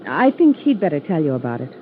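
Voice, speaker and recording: A woman's voice: deep voice, very animated, quick pace, slight background noise. An actress playing a young office assistant in a 1950s radio drama, earnest and emotional in her delivery. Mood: friendly, guarded. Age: young adult. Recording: muffled vintage radio recording.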